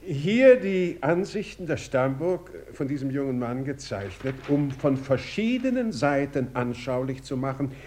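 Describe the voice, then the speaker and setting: A man plays a vigorous old nobleman in a radio drama. His speech swings widely in pitch as he eagerly explains, halting, musing and repeating himself with courtly relish. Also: animated, darkish tone, slight background noise, close to an everyday voice. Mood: eager explaining. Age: older. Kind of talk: radio play dialogue.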